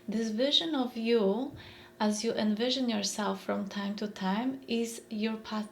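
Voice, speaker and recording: Woman, Polish accent, slow unhurried delivery, slightly high pitch, motivational speaker. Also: a little hiss or hum. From Poland. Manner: thoughtful, repeats phrases, searches for words. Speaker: mindfulness speaker. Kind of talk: reflective motivational talk.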